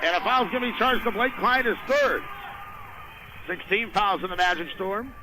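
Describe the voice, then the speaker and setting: A man's voice: high voice, normal speed, pushed and bright. An American man doing live radio play-by-play of a basketball game in clipped, running phrases. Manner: clipped, running description of the action. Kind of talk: live radio sports commentary. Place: indoor basketball gym during a game. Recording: noisy live radio gym broadcast.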